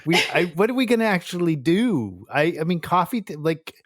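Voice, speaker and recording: A man's voice: sits high, sing-song, fairly quick, no echo, studio quality. A man playing a tabletop game, chatting casually in a bemused way and often restarting his sentences. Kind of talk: casual improvised role-play chat.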